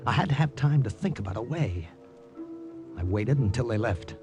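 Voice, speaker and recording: An actor playing an undercover American agent, narrating a 1950s radio drama in terse, suspenseful style. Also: male voice, mid-range voice, animated, normal speed, natural voice. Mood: tense, suspenseful. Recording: vintage 1950 radio recording, music behind.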